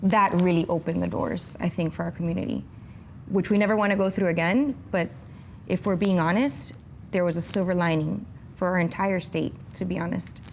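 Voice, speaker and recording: A woman answering interview questions as an executive guest, upbeat, with measured phrasing. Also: fairly low pitch, steady tone, gravelly, a little hiss or hum. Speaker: tech company executive and guest.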